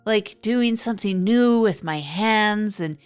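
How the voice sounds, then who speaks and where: A woman's voice: mid-range voice, slow pace, smooth, faint music behind, close to an everyday voice. A woman playing a hapless, earnest evening radio host in an improvised comedy audio drama, rambling and correcting herself as she goes. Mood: earnest, reflective.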